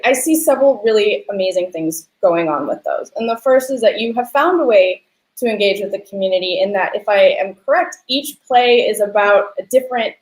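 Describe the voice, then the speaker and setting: The sound is echoey, as in a bare room. A woman with a mid-range voice hosts a conversation. She is animated and affirming, speaking casually. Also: mid-range voice. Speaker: interview host. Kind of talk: conversational, anecdotal interviewing.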